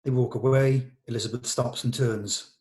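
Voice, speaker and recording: A man's voice: mid-range voice, steady tone, normal speed, darkish tone. A man giving scripted narration, reading out the stage directions at a script table read.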